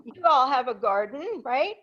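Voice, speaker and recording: A woman's voice: high-pitched, big pitch swings, normal speed, pushed and bright, muffled recording. An older woman raised in Peru telling humorous, chatty stories about her life to a live audience. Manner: humorous, chatty, self-deprecating. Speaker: guest speaker. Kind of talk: anecdotal storytelling to a live audience.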